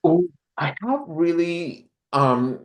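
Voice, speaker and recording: A man's voice: fairly high pitch, sing-song, slow. A man from Honduras chatting casually as a podcast guest, in a reflective, rambling way with hesitant phrasing. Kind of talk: casual interview chat.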